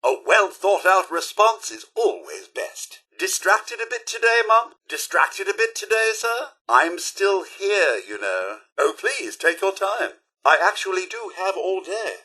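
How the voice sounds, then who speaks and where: An actor playing a fussy, loyal robot butler in a video game, with a British accent and prim, formal, theatrical enunciation. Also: male voice, high voice, very animated, a little slow, few pauses, pushed and bright, husky, exaggerated voice. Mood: courteous, prodding. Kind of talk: scripted video game voice lines.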